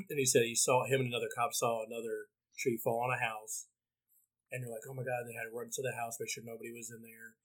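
A man's voice: medium pitch, fast talker, rough recording. A man hosting and producing a podcast, chatting easily and backing his guest with mm-hmms. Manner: flat, easygoing, many mm-hmms. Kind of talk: casual podcast chat.

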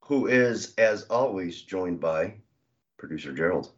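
A man with a very low voice co-hosts a podcast, his nose plainly stuffed up from a cold. He answers with dry, easygoing deadpan remarks. The audio is a little dull. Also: measured pace. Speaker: podcast co-host. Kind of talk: casual chat.

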